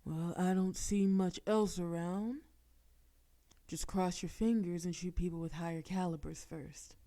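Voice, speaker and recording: A woman's voice: on the low side, steady tone, measured pace, mellow. A woman voicing a bold, flirtatious female mafia boss in an audio roleplay. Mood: teasing. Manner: teasing, flirtatious. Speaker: voice actress.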